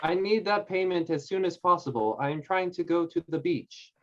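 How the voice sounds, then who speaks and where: A man playing a tabletop game with friends, casual and slow-spoken. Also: high-pitched. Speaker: player. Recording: muffled stream audio with faint noise.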